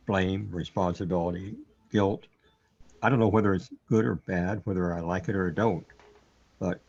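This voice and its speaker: Troubled, self-doubting man played by a male actor, brooding and hesitant, husky delivery, muffled recording, surreal radio drama. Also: deep voice, darkish tone, heavily acted. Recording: dull, lo-fi audio.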